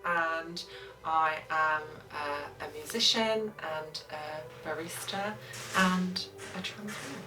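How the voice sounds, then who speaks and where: Chatty 20s woman, low voice, lots of likes, far roomy mic, music playing under. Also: slow, pushed and bright. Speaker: interviewee. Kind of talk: documentary interview.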